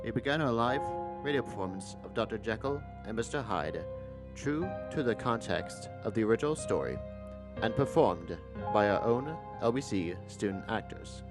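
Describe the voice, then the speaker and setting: Low-voiced young man over music. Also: expressive, normal speed. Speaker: scriptwriter giving an introduction. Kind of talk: scripted introductory address. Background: guitar music.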